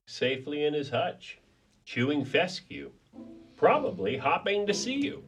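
A man playing a folksy, rueful pet detective in a comedy whodunit radio play, with halting emphasis. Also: a little high, big pitch swings, over music. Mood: reassuring.

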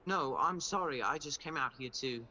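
An actor playing an awkward, earnest young clerk in a radio play, nervous and halting. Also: male voice, mid-range voice, pushed and bright, dull audio, a little hiss or hum. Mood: flustered.

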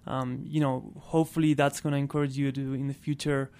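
A man's voice: mid-range voice, level tone, brisk, mellow. A man from Argentina, a native Spanish speaker with an Argentine accent, talking as a guest in a casual radio interview. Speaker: interview guest, clean transportation programme worker.

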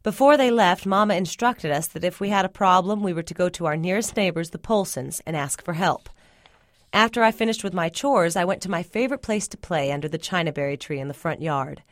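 Low-voiced woman reading a story. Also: brisk, no room sound, clean studio sound. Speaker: story reader. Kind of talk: first-person fiction reading.

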